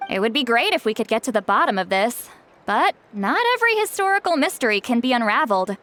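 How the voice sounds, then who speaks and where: A woman voicing a confident, warm-hearted female leader in a video game, reassuring and measured. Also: a little high, sing-song, slight background noise.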